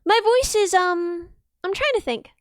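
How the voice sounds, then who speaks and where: Young woman streamer: bright, high, slightly piercing voice, bubbly and gushing, dry close sound. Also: a little slow, dry recording. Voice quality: high, dense, a little piercing. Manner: bubbly, gushes thanks with shrieks of excitement. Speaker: streamer and musician. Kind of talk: casual livestream chat with viewers.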